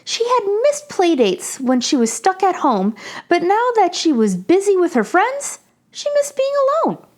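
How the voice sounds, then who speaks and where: A woman reading a children's picture book aloud in an animated storytelling manner. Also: extremely high pitch, unhurried. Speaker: children's story reader, channel host.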